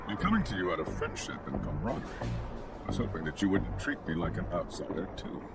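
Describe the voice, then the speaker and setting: A man playing a falsely friendly senior colonel in a video game, measured, courteous and quietly probing. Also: sits low, sing-song, gravelly, heavily acted. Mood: veiled pressure. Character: smooth, insinuating senior colonel, falsely friendly older officer. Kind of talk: video game cutscene acting. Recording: loud music behind the voice.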